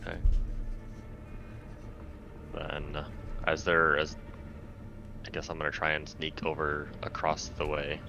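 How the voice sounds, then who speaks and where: A man's voice: very deep voice, monotone, a little slow, gravelly, long pauses, dull audio, background noise. A man playing a tabletop game, casually and tentatively thinking his moves through aloud.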